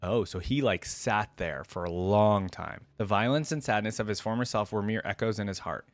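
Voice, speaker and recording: Man, fairly low voice, reaction host, casual commentary and read-aloud narration, dry close-mic sound. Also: normal speed, no room sound.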